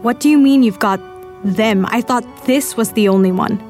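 Actress as frank, high-voiced female scientist. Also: darkish tone, faint music behind, lightly acted. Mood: questioning. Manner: brisk, informal. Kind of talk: full-cast audio drama acting.

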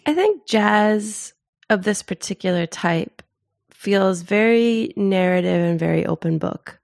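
A middle-aged woman telling personal stories in casual conversation as an interview guest, with a thoughtful, expressive and informal way of talking. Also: mid-range voice, measured pace, vocal fry, dry recording, studio quality. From born in Zimbabwe.

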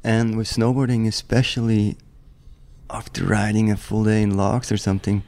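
A man's voice: lowish voice, moderate pace. A man in his thirties from the German-speaking part of Switzerland, chatting loosely as an interview guest with many restarts. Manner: relaxed, rambling, many restarts and "like". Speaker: guest, professional snowboarder. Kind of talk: casual interview chat.

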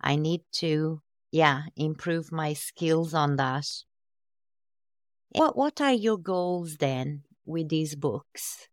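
A woman hosting a podcast interview, asking slow, thoughtful questions about writing children's books. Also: deep voice, no echo, studio quality. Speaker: podcast host, children's author.

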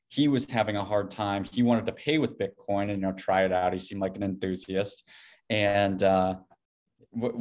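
Low-voiced man in casual conversation. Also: darkish tone. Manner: rambling, hedging with frequent "you know". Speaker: podcast guest, Bitcoin company CEO.

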